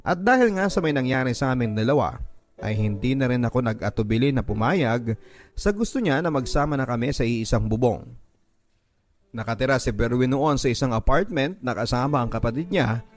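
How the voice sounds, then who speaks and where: A man narrating a listener's letter in first person for a radio drama, reading it dramatically and with feeling, slowing down at the sad parts. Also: mid-range voice, animated. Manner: emotive, slowing on sad moments.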